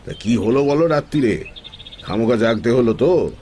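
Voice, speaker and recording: An actor playing a placid, sly elderly village holy man in an audio story, chatting in an unhurried, nonchalant way. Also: mid-range voice, animated, warm tone, slight background noise, close to an everyday voice. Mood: genial, nonchalant. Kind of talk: Bengali audio story acting.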